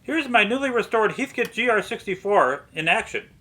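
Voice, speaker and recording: A man's voice: sits high, animated, moderate pace, sharp tone. A man narrating a scripted step-by-step restoration tutorial. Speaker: narrator, vintage radio restorer.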